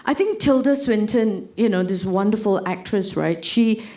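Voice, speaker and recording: A woman's voice: medium pitch, normal speed, dark tone. A woman, an actor speaking on a panel, chatty and laughing, with many fillers. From Singapore. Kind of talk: panel discussion.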